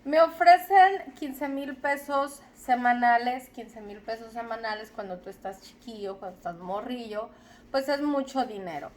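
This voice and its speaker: A young adult woman from Zacatecas with a Mexican accent, slowly telling her personal story in a reflective, deliberate way. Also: on the high side, darkish tone. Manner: slow, deliberate, reflective with repetitions.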